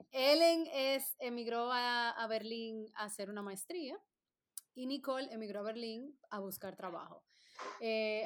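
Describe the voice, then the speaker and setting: A woman hosting a casual podcast chat, bubbly and quick to laugh and joke. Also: on the high side. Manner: bubbly, laughs often, jokes. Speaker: podcast host.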